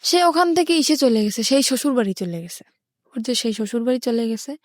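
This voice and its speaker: A woman's voice: on the high side, lively intonation, fairly quick, no echo, studio quality. A young woman with a Bangladeshi accent, telling her life story as an interview guest.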